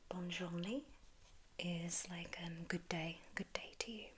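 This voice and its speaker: A woman whispering a gentle ASMR French lesson, patient and encouraging, repeating each word slowly. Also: on the low side, steady tone, a little hiss or hum. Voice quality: soft whisper. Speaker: French tutor.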